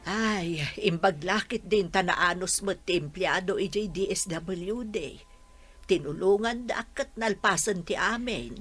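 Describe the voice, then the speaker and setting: A woman's voice: on the low side, a little slow, a bit bright, breathy edge, faint music behind. An actress with an Ilocano accent playing a caring, worried mother in a radio drama, coaxing and reassuring. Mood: concerned, reassuring. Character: caring, worried mother counselling her grown daughter.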